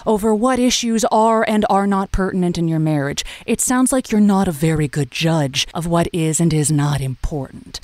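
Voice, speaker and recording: A woman's voice: on the low side, darkish tone, no echo, close to an everyday voice. A woman playing a radio advice host, wry and frank, with hesitant reassurance in a call-in drama.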